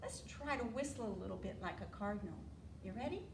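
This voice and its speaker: An American woman leading an interactive storytime for preschoolers, asking simple questions and drawing out key words in an animated way. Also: medium pitch, quick pace. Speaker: children's storytime librarian. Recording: roomy, distant mic, faint room noise.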